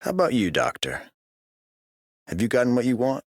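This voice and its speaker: An actor voicing an easygoing, plain-spoken wanderer in a game, casual and unfussy. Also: male voice, medium pitch, wildly swooping pitch, moderate pace, no echo, clean studio sound. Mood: reflective, casual. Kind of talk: scripted game character voice line.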